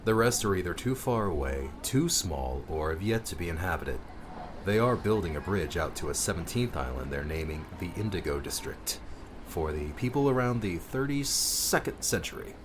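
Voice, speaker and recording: A man's voice: low voice, big pitch swings, normal speed. A man voicing a moody, withdrawn male guardian in an audiobook, curt and matter-of-fact. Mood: distracted, flat. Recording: clearly noisy background.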